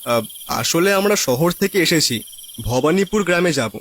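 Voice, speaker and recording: A man's voice: fairly high pitch, expressive, moderate pace, a bit bright, slight background noise. A man voicing a casual, practical city traveller stranded at night in a cartoon ghost story. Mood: hopeful, asking. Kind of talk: cartoon horror story voice acting.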